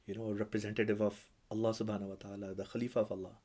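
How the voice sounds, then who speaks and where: A man who speaks Urdu as his first language, hosting a podcast as an imam, talking in a measured, reverent way. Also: fairly low pitch, little pitch movement, mellow. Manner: measured, reverent, with religious phrases. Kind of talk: conversational interview.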